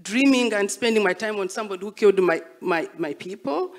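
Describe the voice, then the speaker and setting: A woman's voice: mid-range voice, lively intonation, brisk. Emphatic woman telling stories. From Rwandan, born and raised as a refugee abroad. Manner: emphatic, repeats phrases for weight. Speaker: artist, interviewee. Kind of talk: reflective spoken interview, storytelling.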